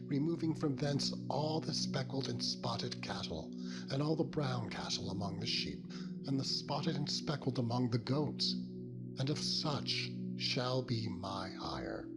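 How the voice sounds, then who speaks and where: A man's voice: medium pitch, pitched above the performer's own voice, close to an everyday voice. A man voicing a proud, aggrieved herdsman with firm, declamatory archaic phrasing in a dramatized scripture reading. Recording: loud music over the voice.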